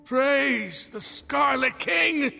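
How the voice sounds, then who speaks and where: A man playing a fanatical, touchy desert zealot leader in a video game, gravelly, grandiose and fervent. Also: extremely high pitch, very slow, pushed and bright, soft background music, exaggerated voice. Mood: awed, fervent. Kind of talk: anime game voice acting.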